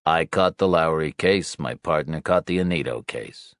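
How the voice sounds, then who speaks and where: A patient, world-weary detective in an audiobook thriller, acted by a male narrator. His voice sits low and stays calm and reassuring, with a dry understatement. The sound is close, with no room to it. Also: moderate pace, smooth, dry recording.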